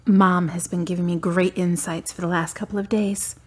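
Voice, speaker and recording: An actress playing a strong-willed, emotional grieving daughter in a radio drama, with a slightly husky voice. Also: female voice, on the low side, flat delivery, moderate pace, a little mellow. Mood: earnest.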